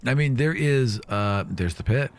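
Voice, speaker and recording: A man's voice: medium pitch, animated, a little slow. A man narrating a tabletop fantasy game as game master, casually describing scenes in loose, conversational phrases.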